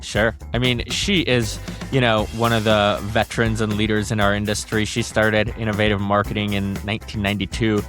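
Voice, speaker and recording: A man's voice: fairly low pitch, little pitch movement, brisk, few pauses, a bit bright, soft background music. A man co-hosting a podcast, opening the show and introducing a guest in an upbeat way. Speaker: podcast co-host. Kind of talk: interview intro and questions.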